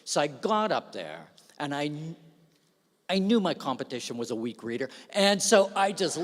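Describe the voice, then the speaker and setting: A man's voice: fairly high pitch, normal speed. Man telling funny stories in a big hall. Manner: self-deprecating storyteller with lively asides. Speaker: speaker at an awards ceremony. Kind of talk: humorous anecdotal speech. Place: large event hall. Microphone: stage microphone. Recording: long reverb of a large hall.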